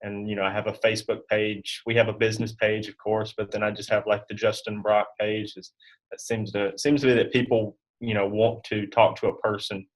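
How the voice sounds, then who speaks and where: Slightly low-voiced man chatting. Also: little pitch movement, a little fast, poor audio. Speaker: guest insurance agent. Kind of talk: casual interview chat.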